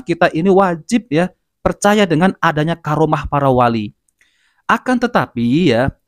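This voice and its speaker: A man's voice: fairly high pitch, dry recording. Male religious commentary host, dry sound. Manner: conversational, with frequent filler "ya".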